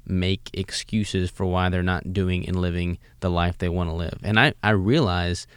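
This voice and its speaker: A very deep-voiced American man hosts a podcast, coaching with earnest, motivational emphasis. His voice sits close to the mic in a dry, echo-free recording. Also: steady tone, normal speed, clean tone. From United States. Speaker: podcast host and fitness coach. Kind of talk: casual interview chat, motivational.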